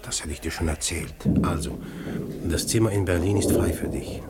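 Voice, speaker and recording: An actor playing a calm, controlling village husband in a radio play, speaking in a measured, quietly commanding way. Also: male voice, low voice, very noisy, natural voice. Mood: firm, hushed. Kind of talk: intimate radio drama dialogue.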